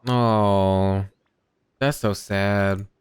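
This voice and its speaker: A woman's voice: very deep voice, steady tone, extremely slow, a little mellow, clean tone, dry recording. A woman giving casual game playthrough commentary, conversational and dry in manner, close to the microphone. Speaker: gaming streamer.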